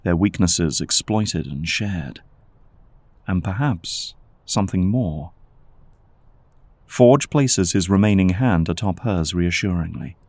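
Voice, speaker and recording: A man's voice: deep voice, animated, takes it slowly, pauses often, a little mellow, no room sound. A man reading scripted, dramatic narration as the narrator of an audio drama. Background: background music.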